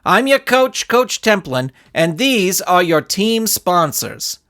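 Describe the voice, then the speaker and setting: A man voicing an awkward, well-meaning children's soccer coach with a halting, flustered way of talking in a storybook. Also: high-pitched, big pitch swings, fairly bright tone, pitched above the performer's own voice, exaggerated voice. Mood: awkward, polite.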